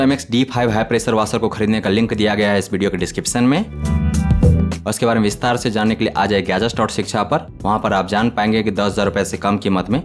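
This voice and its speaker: A man narrating a scripted product review voice-over in a steady, explanatory manner, addressing viewers as friends. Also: fairly low pitch. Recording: voice-over with loud background music.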